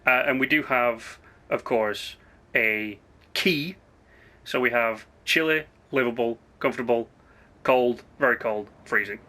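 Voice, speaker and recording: A hoarse man who has partly lost his voice, hosting a rambling, chatty let's-play commentary full of asides and self-corrections. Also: mid-range voice, measured pace, bright, forceful tone. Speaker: gaming channel host.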